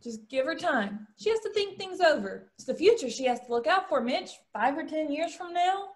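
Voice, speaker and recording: A woman acting as a brassy, worldly dame with a very high voice. She coaxes and needles in slangy, blunt stage-play style. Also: lively intonation, normal speed. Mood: coaxing, knowing. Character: Babe, brassy, worldly showgirl friend, coaxing and blunt. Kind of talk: virtual stage play table read.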